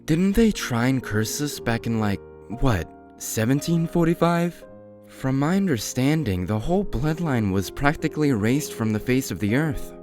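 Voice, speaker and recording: A man's voice: medium pitch, expressive, moderate pace, darkish tone, faint music behind, close to an everyday voice. A man playing a resentful half-blood vampire in a scripted roleplay, with a dry, casual manner. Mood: wry.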